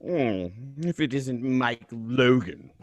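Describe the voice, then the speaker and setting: A man's voice: mid-range voice, expressive, slow, no room sound. A man playing a menacing schoolboy bully who sneers and mocks in a script reading of a radio drama. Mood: mocking, threatening.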